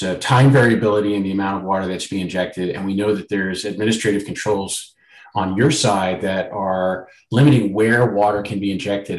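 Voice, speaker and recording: Male host, lowish voice, roomy echo, casual rambling questions. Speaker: host reading chat questions. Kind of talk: panel discussion. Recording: roomy video-call audio.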